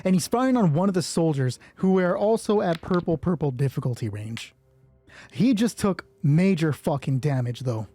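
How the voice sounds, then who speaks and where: Husky, mellow man running a solo tabletop game. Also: on the high side, expressive, normal speed, faint music behind. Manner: thinks aloud, swears casually. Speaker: solo roleplay game master. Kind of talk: casual running commentary on dice rolls.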